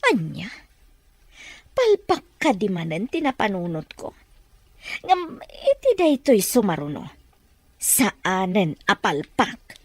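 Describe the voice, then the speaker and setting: A woman's voice: mid-range voice, huge pitch swings, slow pace, pauses often, dry recording, heavily acted. An actress playing a spiteful, domineering older mother who schemes, in an Ilocano radio drama. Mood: frustrated, determined.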